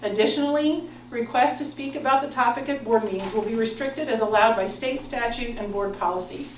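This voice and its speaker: An American woman reading a prepared statement as a board president, in a formal, measured, firm manner. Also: on the high side. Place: indoor public board meeting. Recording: echoey meeting room, distant mic, noise.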